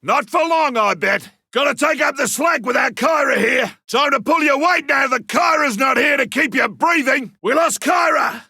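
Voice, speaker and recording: A man voicing a gruff, hearty older Australian big-game hunter in a video game, gravelly, barking short battlefield lines. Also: very high-pitched, normal speed, few pauses, sharp tone, dry recording, raised voice, clean studio sound, heavily acted. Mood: loud, rueful.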